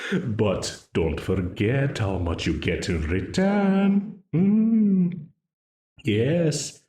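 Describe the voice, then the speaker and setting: A smooth-voiced archdemon, played by a man, drawls his terms at a crawl and laughs at his victim. His pitch swoops widely between lines as he savors each threat. The room around him rings with echo. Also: mellow. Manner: slow, leisurely menace broken by frequent chuckles. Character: mocking, amused male archdemon binding a ruler to a contract. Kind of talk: audio roleplay. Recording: roomy echo.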